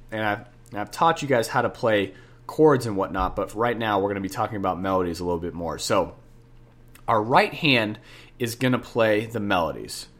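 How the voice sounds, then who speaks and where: A man hosting a podcast lesson on jazz piano, talking casually with a stuffed-up nose, repeating key points and correcting himself as he goes. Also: lowish voice. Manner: chatty, repeats points for the listener, self-corrects.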